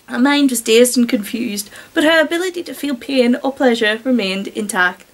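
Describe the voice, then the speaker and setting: A woman with a high-pitched British voice reads a story aloud in an amateur style. She is giggly and openly incredulous, breaking into sarcastic commentary on what she reads. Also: lively intonation, moderate pace. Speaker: reader and commentator. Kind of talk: amateur story reading with mocking asides.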